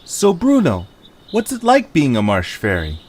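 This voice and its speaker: A man's voice: medium pitch, huge pitch swings, a little slow, darkish tone, slight background noise, pitched above the performer's own voice. A man voicing an inquisitive, wary little creature on an adventure in an audio drama. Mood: curious.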